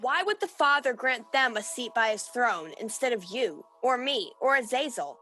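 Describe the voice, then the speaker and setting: A haughty angel voiced by a woman with a bright, cutting edge and a slightly high pitch. She is contemptuous and impatient, acting in a radio play with faint music behind. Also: animated, moderate pace. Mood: scornful. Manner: sharp, contemptuous. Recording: radio play recorded over video call, music behind.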